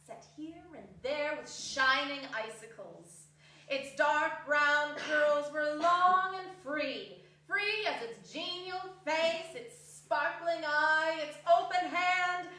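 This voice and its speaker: A woman's voice: high voice, pushed and bright. A woman reading narration aloud in a theatrical, dramatic storytelling style in a live performance. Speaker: narrator and reader. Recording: echoey hall, distant microphone.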